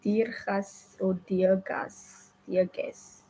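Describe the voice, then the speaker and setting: A woman's voice: medium pitch, flat delivery, mellow, slight background noise, rough recording. A young woman whose first language is Afrikaans, chatting casually on a live stream while she trades, often restarting and correcting herself. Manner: halting, many restarts and self-corrections.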